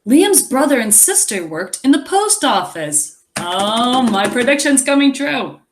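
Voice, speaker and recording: A woman reading a picture book aloud as a teacher, expressively, pausing to explain and think aloud for young children. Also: a little high, sing-song, background noise.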